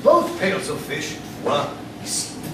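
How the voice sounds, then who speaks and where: Guy doing an exasperated sea captain, high voice swinging all over, comic radio play, echoey noisy room. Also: huge pitch swings, slow pace, exaggerated voice. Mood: exasperated, earnest. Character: exasperated sea captain, earnest storyteller, easily flustered. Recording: echoey room, distant mic, clear noise.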